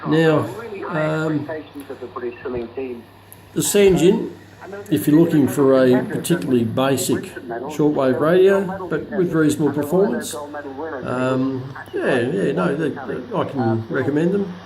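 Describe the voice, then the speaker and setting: A man giving a casual, unscripted product demonstration as presenter, halting and searching for words. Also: fairly high pitch, crowd noise, poor audio. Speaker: presenter of a hobbyist radio review video. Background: radio broadcast voices playing from the demonstrated receiver.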